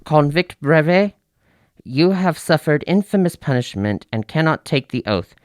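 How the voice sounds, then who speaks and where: A man's voice: on the high side, expressive, measured pace, a little mellow, no room sound, studio quality. An actor playing a male court prosecutor in a radio drama, speaking in a formal, official manner.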